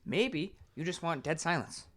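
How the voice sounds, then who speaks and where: Joking man with very animated, swinging pitch. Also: normal speed. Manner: crude, joking, sweary. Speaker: podcast co-host. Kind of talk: casual banter between friends.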